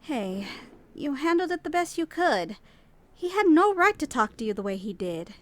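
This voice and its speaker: A woman's voice: high voice, big pitch swings, moderate pace. A woman playing an anxious, earnest young female student who narrates a visual novel audio drama in a dramatic, hesitant way. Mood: soothing. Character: anxious, exasperated young female student, new club member. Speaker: voice actor narrating and playing the lead. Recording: music behind the voice.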